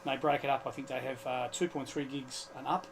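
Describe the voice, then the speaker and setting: A man with an Australian accent chatting casually as a guest, explaining his hobby in a halting, colloquial way. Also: mid-range voice, steady tone, creaky, a little hiss or hum. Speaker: guest, amateur radio operator. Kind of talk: casual chat. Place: club radio studio.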